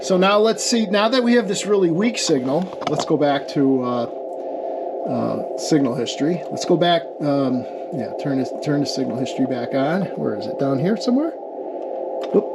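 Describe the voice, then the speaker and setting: A man with a fairly high voice walks through a technical demonstration in an informal, hands-on way. He explains step by step and often checks that the listener is following. There is clearly audible noise behind his voice. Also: moderate pace. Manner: explanatory, checks in with "okay" and "right". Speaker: amateur radio experimenter demonstrating equipment. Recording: clear steady background noise.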